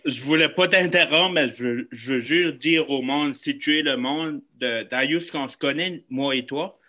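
A man's voice: mid-range voice, normal speed, sharp tone. A man hosting a casual podcast, friendly and hesitant, with many fillers. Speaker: podcast host.

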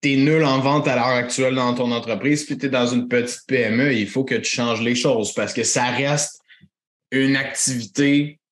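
A man with a Québécois accent co-hosting a business podcast, explaining points in a lively way with English words mixed in. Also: mid-range voice, normal speed, echoey room. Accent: Québécois French. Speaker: podcast co-host. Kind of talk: casual business chat.

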